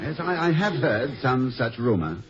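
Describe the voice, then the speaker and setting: An actor playing a genial, fretful college president in a 1950s radio comedy, hesitant and professorial in manner. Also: male voice, mid-range voice, very animated, normal speed, a crowd in the background, natural voice. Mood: interested. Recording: dull, hissy vintage radio recording.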